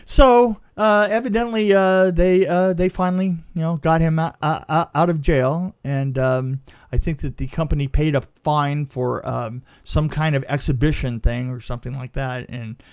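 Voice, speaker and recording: Guy with a highish voice telling a casual story, punchy but halting, repeats himself a lot. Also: steady tone, smooth. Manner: emphatic, halting with repeated phrases, often asks "right?". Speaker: podcast guest, film crew veteran. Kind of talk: animated casual anecdote telling.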